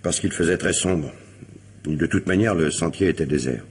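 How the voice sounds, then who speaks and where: An actor playing a wronged husband suspected of murder, guarded, hesitant and protesting, in a French radio crime drama. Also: male voice, a bass voice, moderate pace, a little mellow, a little hiss or hum. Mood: guarded, uneasy.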